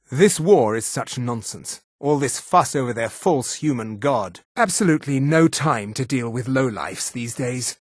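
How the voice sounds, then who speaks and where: An actor voicing a haughty, sneering high elf official in a fantasy video game, speaking in a pompous, condescending, precise manner. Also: male voice, no room sound, studio quality. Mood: disdainful. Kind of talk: scripted fantasy game dialogue.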